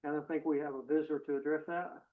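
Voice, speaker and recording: A man's voice: on the high side, steady tone, fast. A man chairing a county board meeting in a plainspoken, procedural way. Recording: phone-like meeting audio.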